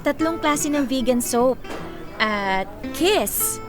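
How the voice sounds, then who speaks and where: A high, youthful female voice from an actress plays a self-assured college beauty in a Filipino radio drama. She is expressive and playful, breezy in her delivery. Music runs clearly behind her. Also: slow pace. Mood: bold, playful. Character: confident, playful young college woman, rich beauty queen.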